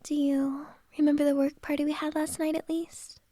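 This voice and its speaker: A woman's voice: high-pitched, flat delivery, normal speed, no room sound, clean studio sound, lightly acted. A woman whispering as a teasing flirty boss. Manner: soft unvoiced whisper, teasing. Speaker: ASMR roleplay performer. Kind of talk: whispered ASMR roleplay.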